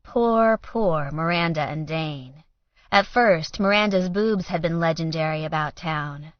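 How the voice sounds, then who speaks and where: A woman's voice: deep voice, measured pace, no echo, dull audio. A woman reading scripted fiction as an audiobook narrator.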